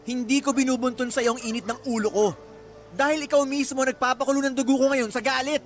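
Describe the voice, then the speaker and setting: An actor playing a jealous, abusive young boyfriend in a radio drama, with an accusing, sarcastic and menacing manner. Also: male voice, very high-pitched, steady tone, quick pace, faint music behind. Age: young adult.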